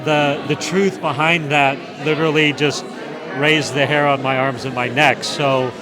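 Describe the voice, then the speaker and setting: A man's voice: a little high, measured pace, over music. A man hosting a talk show interview at a party, eager and chatty. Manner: eager, chatty, easily excited. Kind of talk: event interview chat. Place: busy indoor party. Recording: crowd noise of a busy party. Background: crowd chatter.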